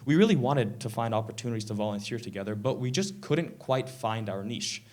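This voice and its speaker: A sixteen-year-old boy from Canada giving a prepared stage talk in an earnest, measured way, a little sick. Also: mid-range voice, expressive, darkish tone. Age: teens. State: slightly sick, clearing throat. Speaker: presenter. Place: event stage.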